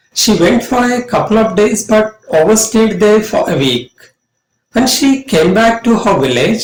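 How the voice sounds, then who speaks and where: A middle-aged man with an Indian accent reading a scripted lesson as an English lecturer, in a deliberate, emphatic way. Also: high voice. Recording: roomy, echoing voice.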